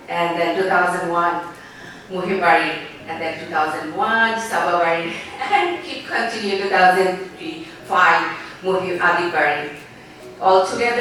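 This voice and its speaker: A woman in her forties with a Bangladeshi accent speaks at a formal event, and her heartfelt, halting phrasing keeps circling back. Her voice sits fairly low. She is heard from well off the mic in a strongly echoing hall, with a faint room hum beneath her. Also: fairly bright tone, far from the mic. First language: Bengali. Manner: halting, heartfelt, loose grammar. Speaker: newspaper editor giving a speech. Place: large banquet hall.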